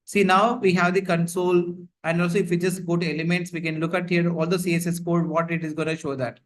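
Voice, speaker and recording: A man's voice: on the high side, little pitch movement, fast. A man teaching a live online class over a video call, explaining in a repetitive way full of fillers. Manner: repetitive, filler-heavy explaining with frequent "like, you know". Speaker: instructor. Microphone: computer mic on a video call.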